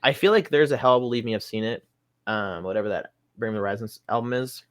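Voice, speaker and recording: A man's voice: lowish voice, fast, no room sound, studio quality. A young adult man, a musician guest on a podcast, chatting casually and rambling a bit as he thinks aloud.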